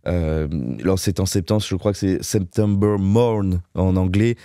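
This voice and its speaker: A male radio host with a fairly low voice leads an interview. His questions wander and he corrects himself mid-sentence as he goes. The sound is dry and close to the mic. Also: unhurried, warm tone, clean tone, dry recording. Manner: rambling, self-correcting questions.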